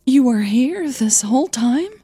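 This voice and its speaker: A woman's voice: sits high, expressive, a little slow, mellow, husky, no room sound, faint music behind, voice pushed up, full character voice. A woman voicing an earnest, easily flustered young woman opera singer in an audio drama. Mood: surprised, delighted.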